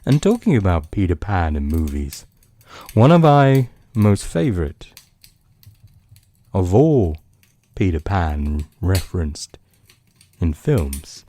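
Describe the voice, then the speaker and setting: A man hosting a storytelling podcast, narrating from a script in bedtime-story style with dramatic pauses and savoured phrasing. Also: deep voice, warm tone.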